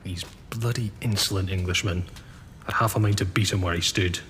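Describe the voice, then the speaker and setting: An actor playing a brusque young army officer. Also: male voice, low voice, a little fast. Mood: curt, irritated. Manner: brusque, commanding. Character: gruff young male Scottish army officer. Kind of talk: radio drama acting. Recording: reverberant with steady background noise.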